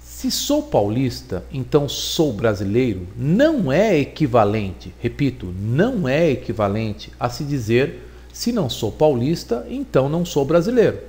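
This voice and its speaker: Man teaching logic with lively intonation. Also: mid-range voice. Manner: deliberate, explanatory, repeats points for emphasis. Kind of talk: recorded logic lesson.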